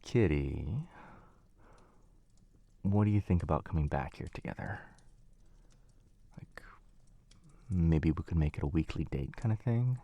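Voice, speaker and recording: A man playing a kind, flustered young wizard in an intimate boyfriend ASMR roleplay, speaking softly and gently with some hesitation. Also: deep voice, lively intonation, unhurried, long pauses, no echo, dull audio, exaggerated voice. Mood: fond, chatty.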